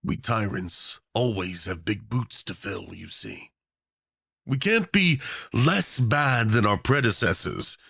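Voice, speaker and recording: Man playing a theatrical, creaky-voiced obsessive tyrant. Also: mid-range voice, fairly bright tone, no room sound, clean studio sound, exaggerated voice. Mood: smug, menacing. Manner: theatrical, drawling, mock-polite, flirtatious. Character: charming obsessive male tyrant, theatrical, menacing yet playful. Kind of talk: romantic villain ASMR roleplay.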